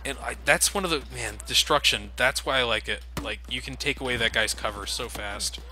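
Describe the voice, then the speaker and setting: A man's voice: mid-range voice, brisk, bright, forceful tone. Sarcastic man commentating gameplay. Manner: sarcastic, swears casually. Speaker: let's play commentator. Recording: game noise underneath.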